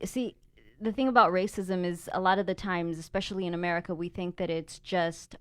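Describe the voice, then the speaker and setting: A woman co-hosting a talk radio interview, giving her views in a measured, earnest way. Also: fairly low pitch, steady tone, clean tone, no room sound. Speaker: radio co-host.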